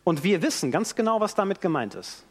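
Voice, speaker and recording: Lively high-voiced man preaching in a reverberant hall. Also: brisk, slightly rough. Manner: animated storytelling with acted-out quotes. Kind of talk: sermon. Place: church hall. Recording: long church hall reverb.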